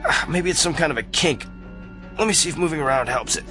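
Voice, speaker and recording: A man's voice: on the high side, animated, fast, bright, forceful tone, soft background music. A man acting in a radio drama, playing an arrogant, self-assured and brusque doctor. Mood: pained. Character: brilliant, arrogant, tactless male doctor. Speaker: actor.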